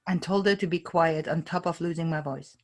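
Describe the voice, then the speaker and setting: A woman's voice: low-pitched, fairly even intonation, a little fast. A woman leading a tapping session, calling out tapping points and giving short phrases for someone to repeat. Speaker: EFT tapping practitioner.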